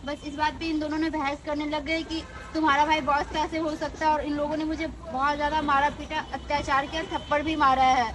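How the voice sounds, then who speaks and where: A woman's voice: high-pitched, flat delivery, a little fast, few pauses, background noise, natural voice. A woman playing a humble, wronged village sister in a melodrama skit, complaining plaintively and indignantly. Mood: aggrieved. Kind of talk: amateur Hindi melodrama skit acting.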